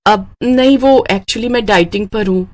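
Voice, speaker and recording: A woman's voice: mid-range voice, a little slow, darkish tone, close to an everyday voice. A woman voicing a vain, spoiled college-age girl in a cartoon story, carefree and self-centred. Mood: smug, breezy. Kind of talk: Hindi cartoon moral story acting.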